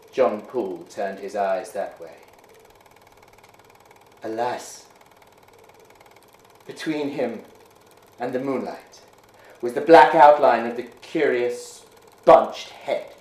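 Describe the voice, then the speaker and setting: Man, storyteller, hushed, measured, dramatic, echoey room. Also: mid-range voice, a little hiss or hum. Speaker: reader. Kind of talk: storytelling reading of a ghost story. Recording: roomy echo.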